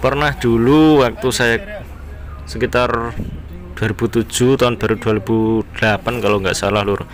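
A man's voice: mid-range voice, normal speed, soft background music. A man with an East Javanese accent giving casual, conversational driving commentary to his viewers as a car vlogger. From Bojonegoro area, East Java, Indonesia. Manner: conversational, often addressing viewers as friends. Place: inside a moving car on town roads. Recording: steady vehicle and road noise. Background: car and traffic noise.

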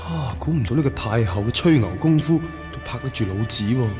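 An actor in a radio drama playing a cheeky, irreverent young rascal who mutters crude, astonished asides. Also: male voice, mid-range voice, animated, brisk, warm tone, faint music behind, close to an everyday voice. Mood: amazed, cheeky. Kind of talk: Cantonese radio drama acting.

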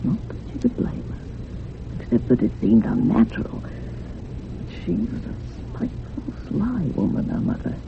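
An older housekeeper in a radio thriller, troubled and gossipy. Her creaky voice swings widely up and down as she rambles. The sound is thin and phone-like. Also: very deep voice, huge pitch swings, measured pace, full character voice. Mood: confiding, gossipy. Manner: rambling, dramatic, hushed at moments. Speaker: actor in a radio drama. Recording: muffled phone-like radio recording with hiss.

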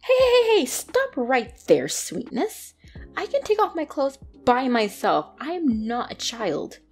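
A woman's voice: high-pitched, wildly swooping pitch, measured pace, faint music behind, heavily acted. A woman playing a bratty, easily flustered young tsundere catgirl in an ASMR roleplay, speaking indignantly and defensively to the listener. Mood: indignant, bossy.

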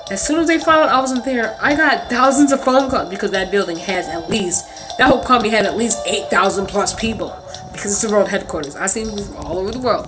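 A woman's voice: medium pitch, low-quality recording. A woman in her thirties telling a story as a casual, slangy rant to camera, indignant and emphatic, on a phone held at arm's length in a bedroom. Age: 30s. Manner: indignant, emphatic, slangy venting with mimicked quotes. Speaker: vlogger. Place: small bedroom, indoors.

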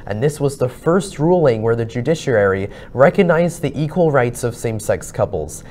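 Steady young male news reader. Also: mid-range voice, a little hiss or hum. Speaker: student news anchor. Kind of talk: read news report.

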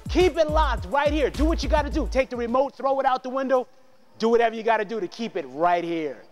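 Hyped, high-pitched male talk show host. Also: fairly quick, soft background music. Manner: energetic, hyped promo delivery. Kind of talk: upbeat hosting and interviewing. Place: studio set with a large screen.

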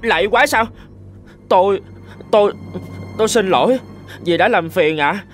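A man's voice: sits high, normal speed, fairly bright tone. An actor voicing a timid, honest young servant man in a cartoon, stammering. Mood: frightened. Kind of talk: animated cartoon dubbing. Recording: music behind the voice.

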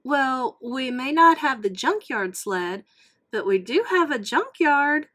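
A woman's voice: high-pitched, lively intonation, takes it slowly, pushed and bright, pitched above the performer's own voice, heavily acted. A woman voicing an earnest, friendly young male race car in a storybook read-aloud. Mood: warm.

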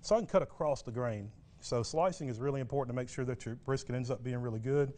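A man's voice: medium pitch, quick pace, darkish tone. The middle-aged guy with an ordinary mid-range voice at the barbecue cook-off who casually shows you, step by step and in plain words, how he does it. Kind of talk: casual cooking demonstration. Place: TV studio kitchen set.

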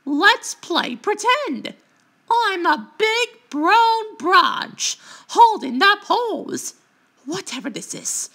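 A woman's voice: extremely high pitch, big pitch swings, extremely slow, vocal fry, bright, forceful tone, full character voice. A woman voicing a playful, childlike cartoon color creature who explores eagerly, speaking in short exclamatory catchphrases. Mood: playful, excited. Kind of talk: cartoon character voice lines.

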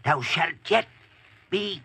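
A creaky, sharp-edged wizard plots in a sly, theatrical hush of archaic English. His voice sits a little high, and it comes from a vintage radio drama. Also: animated, takes it slowly, exaggerated voice. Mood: sly, conspiratorial. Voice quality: raspy, creaky. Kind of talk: 1940s radio acting, archaic speech.

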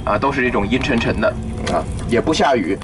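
A man's voice: mid-range voice, animated, a little fast. Male tour guide over traffic noise. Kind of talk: tour commentary to a group. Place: in a vehicle moving through city traffic.

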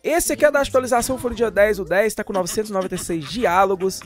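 A man's voice: high voice, fast talker. A Brazilian man giving a casual, slangy, jokey voice-over as host of a gaming channel. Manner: chatty, jokey, informal. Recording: voice-over with music behind.

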